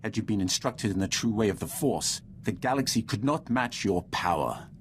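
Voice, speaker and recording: A man playing a proud, stern Sith lord in a video game, speaking in a formal, grandiose and deliberate manner. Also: fairly low pitch, expressive, slight background noise, lightly acted. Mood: ominous. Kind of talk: video game dialogue acting.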